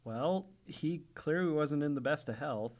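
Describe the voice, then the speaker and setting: A man's voice: fairly high pitch, animated, unhurried, a little mellow. A man playing a formal, brusque and reserved businessman in an amateur radio play. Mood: brusque, composed. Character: reserved, brusque gentleman shipping company owner. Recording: faintly noisy home recording.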